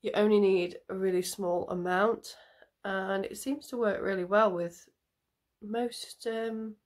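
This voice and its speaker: A woman's voice: medium pitch, fairly even intonation, slow. A woman with a nasal, bunged-up voice from a cold, chatting in a rambling way while doing her makeup on camera, with lots of ums. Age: adult parent. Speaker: makeup vlogger. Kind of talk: casual makeup tutorial chat.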